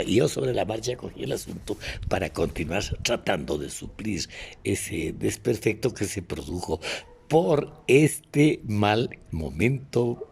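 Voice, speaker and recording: Man in his 80s, Ecuadorian accent, gravelly and mellow, recalling old times with halting pauses. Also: fairly low pitch. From Otavalo, Ecuador. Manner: halting, pausing to search for names. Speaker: interviewee, veteran radio announcer and actor. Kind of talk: reminiscing in an interview.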